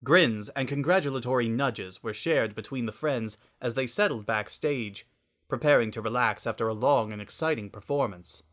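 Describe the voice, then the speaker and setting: A man's voice: medium pitch, normal speed, a bit bright. A man reading scripted fiction aloud as a dramatic narrator.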